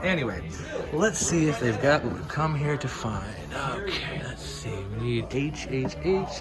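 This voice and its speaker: A man's voice: mid-range voice, sing-song, moderate pace, loud music behind the voice. An American man hosting a vlog with casual, chatty commentary in an informal, jokey, incredulous manner. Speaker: vlog host.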